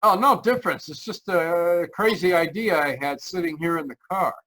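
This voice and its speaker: A man's voice: a little high, normal speed, bright, forceful tone. An actor playing a haunted, anxious driver narrating his road trip in old-time radio style, tense and earnest. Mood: uneasy. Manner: tense, earnest, dramatic storytelling. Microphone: video call. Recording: live video-call performance.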